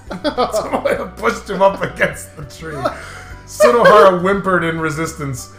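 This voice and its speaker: A high-voiced, animated man gives casual game commentary in a roomy space with music underneath. He jokes crudely and giggles, and drifts into mock-dramatic narration. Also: a little slow. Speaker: let's play host.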